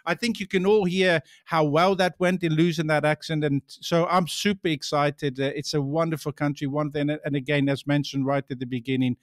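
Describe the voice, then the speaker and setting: A man's voice: on the high side, dry recording. A man with a South African accent hosting a podcast interview, chatty and full of fillers. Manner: chatty, warm-up host with many fillers. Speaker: podcast host.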